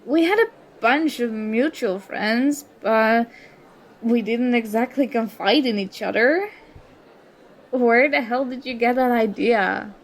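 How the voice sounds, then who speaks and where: Casting: female performer as sarcastic college girl in an audio roleplay, highish voice, unhurried delivery, confrontational and wounded pride. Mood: baffled, defensive. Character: indignant young woman student, sarcastic former school enemy. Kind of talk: ASMR roleplay acting, one side of a dialogue.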